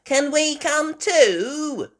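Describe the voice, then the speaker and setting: A woman voicing a cheerful, curious little girl pig in a storybook, with eager, drawn-out exclamations. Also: high voice, expressive, voice pushed up, exaggerated voice.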